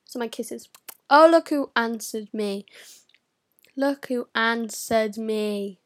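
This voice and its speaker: A woman's voice: a little high, takes it slowly. Chatty swearing teen girl. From United Kingdom. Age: teens. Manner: rambling, swearing, whispering asides. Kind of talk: casual vlog chat.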